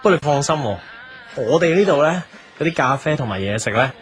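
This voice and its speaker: An actor with a Hong Kong Cantonese accent playing a friendly, chatty young café owner in a radio drama, easily flustered. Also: male voice, on the high side, lively intonation, fast, close to an everyday voice. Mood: eager, chatty. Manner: chatty, eager, stammers when flustered. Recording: music behind the voice.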